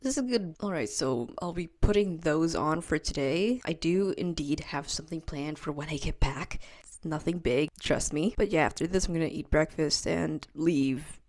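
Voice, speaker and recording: A young woman chatting casually to her camera at home, talking quickly and stumbling over her words. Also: low-pitched, darkish tone, no echo, clean studio sound. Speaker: vlogger. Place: at home, indoors.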